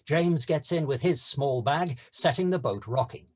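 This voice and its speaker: A man's voice: fairly high pitch, unhurried, breathy edge. A man narrating scene headings and stage directions from a script.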